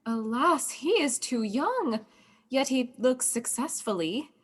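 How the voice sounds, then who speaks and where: A witty, loyal young princess in a Shakespearean radio drama. Her voice sits fairly high as she delivers classical dialogue. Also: expressive, takes it slowly. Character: young princess, loyal, bright-witted cousin. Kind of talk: Shakespearean radio play acting.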